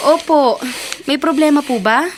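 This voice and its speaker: Polite young Filipina trainee, noisy recording. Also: female voice, sits high, unhurried. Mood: obliging. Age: young adult. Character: polite, deferential young female office trainee. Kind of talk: Tagalog radio drama acting.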